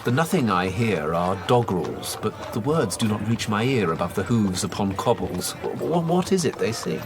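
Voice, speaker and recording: An actor playing an insecure, sardonic lord, halting and probing, in a period radio drama. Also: male voice, fairly low pitch, sing-song. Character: insecure, mocked, sardonic English lord at court. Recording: noisy street ambience with horse hooves.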